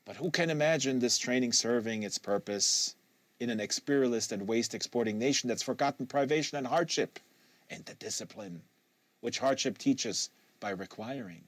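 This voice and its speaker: A man narrating literary fiction aloud in an expressive, deliberate manner with emphatic phrasing. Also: mid-range voice, no echo. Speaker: audiobook reader hosting a live reading series.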